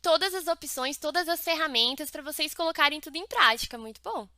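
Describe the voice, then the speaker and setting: A woman's voice: sits high, animated, a little fast, sharp tone, dry recording, studio quality. A woman hosting a live interview for an Italian course, asking questions and giving short encouraging replies. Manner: frequent short backchannels, encouraging.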